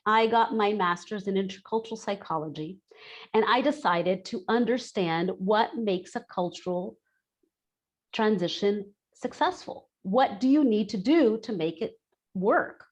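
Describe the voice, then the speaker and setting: A woman's voice: medium pitch, a little slow. A woman in her forties from Brazil, an expat coach giving a candid, chatty webinar talk over a video call and often restating her points. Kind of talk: webinar presentation.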